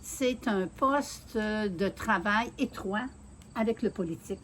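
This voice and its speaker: A Québécoise woman in her sixties speaks in a measured, earnest way, pausing often to find her words. Her voice sits at an ordinary middle pitch, with faint crickets and insects chirping behind her. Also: slight background noise. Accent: Quebec French. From Quebec City, Canada. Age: 60s. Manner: measured and earnest, with many filler pauses. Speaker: mayoral candidate being interviewed. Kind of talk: casual interview, telling her life story.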